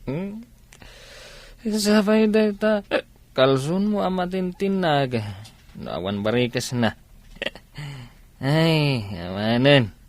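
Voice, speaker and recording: A man's voice: a little high, lively intonation, slow pace, pauses often, no room sound. A man with an Ilocano accent playing a teasing, easygoing buddy in a radio comedy drama. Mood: teasing, nosy.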